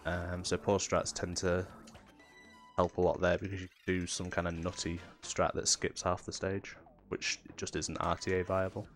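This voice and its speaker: A man's voice: deep voice, normal speed, soft background music. A man with a cold and a nasal voice, casually explaining technical tricks as he commentates his own speedrun. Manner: relaxed, explanatory, with fillers. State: has a cold.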